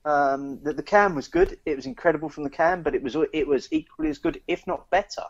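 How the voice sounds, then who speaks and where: A man's voice: on the high side, big pitch swings, fairly quick, gravelly, no echo, dull audio. A British man co-hosting a casual beer podcast, often repeating words as he gets his sentences going. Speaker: podcast co-host.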